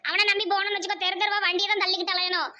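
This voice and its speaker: Woman doing a bright, high-voiced bossy cartoon mother. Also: level tone, rapid-fire, highs cut off, full character voice. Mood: dismissive. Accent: southern Tamil Nadu. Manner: brash, chattery, mocking. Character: bossy, teasing, sharp-tongued village mother. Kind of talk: amateur cartoon comedy voice acting.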